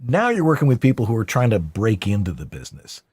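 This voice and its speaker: A man's voice: fairly low pitch, wildly swooping pitch, fast talker, a little mellow, husky, no room sound. A man hosting a talk show, interviewing a guest in a casual, conversational way.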